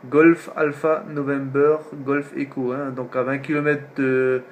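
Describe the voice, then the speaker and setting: A man's voice: medium pitch, little pitch movement, a little slow, a little hiss or hum. A man from northeastern France, a radio operator chatting casually on air, often repeating himself and tagging his phrases. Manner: repetitive, with frequent tag words ending phrases. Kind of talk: casual radio contact chat.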